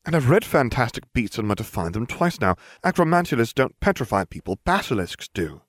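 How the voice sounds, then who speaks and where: A man's voice: mid-range voice, sing-song, a little fast, no room sound, studio quality, pitched above the performer's own voice. A man voicing an earnest, bookish twelve-year-old schoolgirl in a storybook reading. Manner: earnest, softly insistent.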